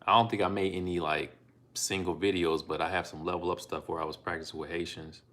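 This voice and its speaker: A man from Ohio streaming live from his phone, talking in a laid-back, unhurried way with frequent ums and asides as he reads out donations and chats about learning languages. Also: sits low, flat delivery, a bit bright. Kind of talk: casual live-stream chat reading donations.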